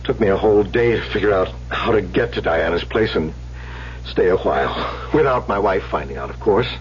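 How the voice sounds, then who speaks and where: Under faint hiss, a troubled man of about sixty in a radio drama. His voice is deep and dulled by the old recording, with a prickly, sardonic edge that needles whoever listens. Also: animated, moderate pace. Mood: wry, confessing. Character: bitter, needling, troubled older male therapy patient near sixty. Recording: muffled, hissy old radio broadcast recording.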